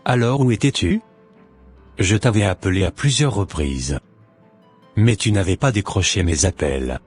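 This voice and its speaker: A man playing a suspicious, impatient husband in an animated drama, speaking in a deliberate, formal way with a husky voice. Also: sits low, soft background music, exaggerated voice. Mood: questioning, impatient. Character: suspicious, impatient husband waiting for his unfaithful wife.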